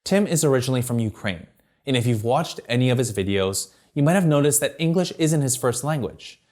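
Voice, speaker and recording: A man presents a scripted tutorial, reading smoothly from a teleprompter. His voice sits at an ordinary middle pitch, and he delivers each point in a clear, structured, upbeat manner. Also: expressive, fairly quick, darkish tone. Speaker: video marketing presenter.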